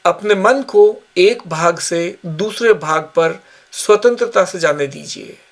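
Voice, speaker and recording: A man guiding a yoga nidra relaxation session with slow, calm, measured instructions. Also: sits high. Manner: slow, calm, measured guidance with long pauses. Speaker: yoga teacher.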